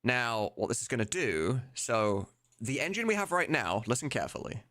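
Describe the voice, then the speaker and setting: A man's voice: medium pitch, big pitch swings, normal speed, bright, forceful tone. A man chatting casually on a live stream in an informal, sweary way, thinking aloud as he goes. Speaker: streamer and voice mod creator. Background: video game music.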